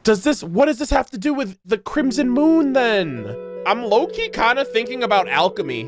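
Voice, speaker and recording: A woman streamer giving casual, expressive reaction commentary on a game, informal and prone to swearing. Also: a little high, moderate pace, faint music behind.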